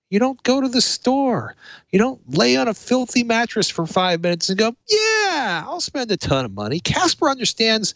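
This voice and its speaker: A man's voice: fairly high pitch, a bit bright, no echo, dull audio. A man hosting a tech podcast, talking in a conversational, emphatic way. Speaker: podcast host. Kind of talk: casual tech podcast talk and host-read ad.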